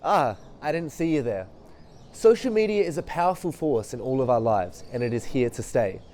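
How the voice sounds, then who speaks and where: A young man reading scripted documentary narration in an earnest, measured way. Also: medium pitch, animated, darkish tone.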